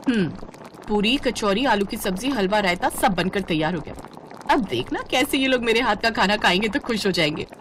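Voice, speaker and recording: A woman's voice: mid-range voice, lively intonation, fairly quick, fairly bright tone, slight background noise, natural voice. A woman voicing a young, overworked daughter-in-law who mutters with exasperation and self-pity in a dramatic story style. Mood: surprised, pleased.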